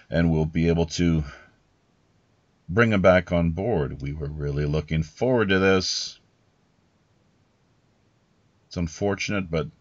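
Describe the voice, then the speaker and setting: A man hosting a podcast with deliberate, measured delivery and formal, announcer-like phrasing. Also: deep voice, no echo, muffled recording. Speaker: podcast host.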